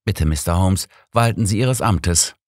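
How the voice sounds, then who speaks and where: A man's voice: low-pitched, a little slow, no echo, clean studio sound. A man voicing a formal, courteous and deferential police inspector in an audiobook.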